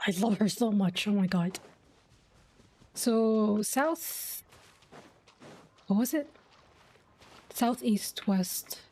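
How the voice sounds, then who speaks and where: A woman's voice: medium pitch, drawn-out words, long pauses, dark tone, a little hiss or hum. A young woman doing casual gameplay commentary, thinking aloud in an informal way. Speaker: game streamer.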